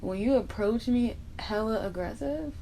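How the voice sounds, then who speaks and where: A woman's voice: on the high side, animated, a little slow, creaky, mellow, a little hiss or hum, low-quality recording. A young woman from Barbados chatting bluntly and casually while doing her makeup for a vlog, dropping in slang asides. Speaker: beauty vlogger.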